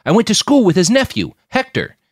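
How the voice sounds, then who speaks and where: Man as an earnest young war-zone fixer, anxious, polite, urgent, higher pitch, dry sound, audio fiction. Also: wildly swooping pitch, brisk, dry recording, heavily acted. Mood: eager, urgent.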